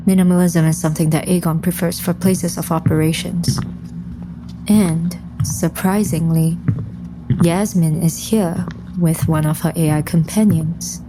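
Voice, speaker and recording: A composed female agent, played by an actress, has a lowish voice and a measured, no-nonsense delivery. It is audio drama narration over clear background ambience. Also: noisy background, natural voice. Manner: measured, matter-of-fact.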